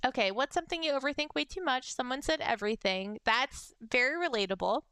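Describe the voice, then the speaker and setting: A young adult woman with a high-pitched voice, chatting casually on a livestream and reading fan messages, bubbly and giggly. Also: fairly quick, sharp tone, clean tone, no room sound, studio quality. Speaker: VTuber streamer.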